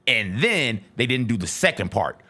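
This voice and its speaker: A man's voice: mid-range voice, sing-song, moderate pace, pushed and bright, husky. A man giving casual, emphatic sports commentary as a talk show co-host, repeating points for stress.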